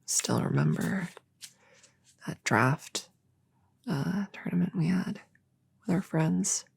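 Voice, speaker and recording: A woman playing a young, earnest novice witch in a soft, partly whispered ASMR roleplay, chatting hesitantly and intimately as if to a friend. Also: on the low side, slow, no room sound, exaggerated voice. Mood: playful, relaxed. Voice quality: breathy, soft.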